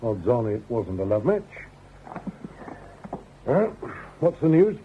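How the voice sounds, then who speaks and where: An actor playing a dogged, brusque police inspector in a radio crime drama, clipped and probing in manner. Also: male voice, medium pitch, big pitch swings, slow pace. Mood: musing. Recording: muffled radio drama recording, faint noise.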